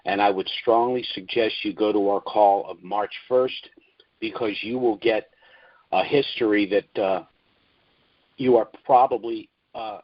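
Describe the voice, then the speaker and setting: Man, fairly low pitch, conference call host, explanatory briefing, measured delivery, formal wording, dull lo-fi sound. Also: fairly even intonation. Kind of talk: informal prepared briefing to listeners.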